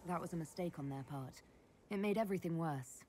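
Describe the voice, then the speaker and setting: Actress as a composed, measured female Inquisitor. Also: low-pitched, mellow, slight background noise, close to an everyday voice. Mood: dry. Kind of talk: video game player-character dialogue.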